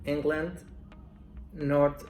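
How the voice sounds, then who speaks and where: A man in his twenties, a Spanish speaker, answering interview questions in slow, careful English. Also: fairly high pitch, little pitch movement, darkish tone. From Mexico. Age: 20s. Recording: roomy echo, faint music.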